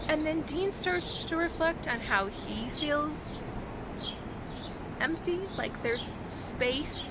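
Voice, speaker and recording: A woman talking casually in a reaction vlog, with a halting, expressive fangirl delivery and dramatic pauses. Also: sits high, very noisy. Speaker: vlogger sharing reactions to fan fiction.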